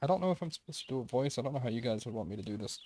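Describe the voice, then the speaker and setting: A man's voice: medium pitch, expressive, rapid-fire, darkish tone. A man hosting a game review video with casual, tentative, unscripted commentary, thinking aloud as he goes.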